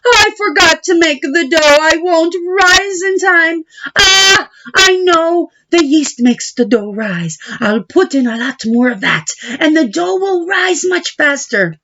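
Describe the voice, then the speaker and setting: Woman putting on an overeager, whiny young man, high-pitched. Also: animated, takes it slowly, few pauses, bright, forceful tone, full character voice. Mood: excited, scheming. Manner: exclaiming, overeager. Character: excitable, whiny, foolish young man, Big Anthony. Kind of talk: picture book read-aloud character voice.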